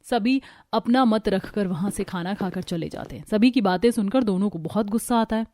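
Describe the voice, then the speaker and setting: A woman's voice: mid-range voice, normal speed, warm tone, no room sound, studio quality. A woman reading scripted narration for a cartoon family story.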